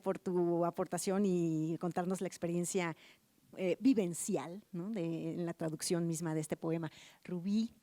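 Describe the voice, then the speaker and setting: A woman moderates a panel, thanking one speaker and politely inviting the next. Her voice is low and mellow. The sound is dry and close. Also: dry recording. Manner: courteous, brief introductions.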